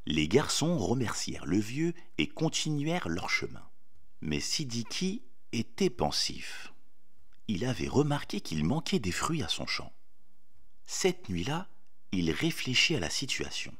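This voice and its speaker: A man narrating a scripted children's story expressively, with theatrical pauses. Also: mid-range voice, huge pitch swings, a bit bright.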